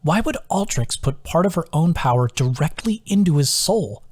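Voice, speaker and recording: A man's voice: on the high side, animated, moderate pace, darkish tone, no room sound, voice pushed up. A man voicing a thoughtful, frank young woman adventurer with a wry, questioning manner in an audiobook.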